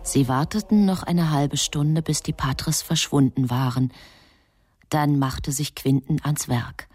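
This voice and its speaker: Low-voiced woman narrating a radio drama. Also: slow, darkish tone, breathy edge, no echo.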